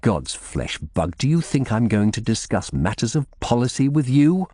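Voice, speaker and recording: A man's voice: medium pitch, very animated, normal speed, mellow, no room sound, studio quality, voice pushed up. A man voicing a stern, impatient royal official in an audiobook, curt and authoritative. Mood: irritated. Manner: brusque, authoritative.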